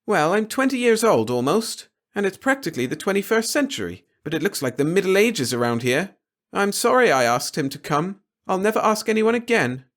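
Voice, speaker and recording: A man's voice: high-pitched, very animated, brisk, fairly bright tone, voice pushed up. A man voicing a spoiled, theatrical young woman of nearly twenty in a storybook reading. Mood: indignant, pleading. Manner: breezy, theatrical.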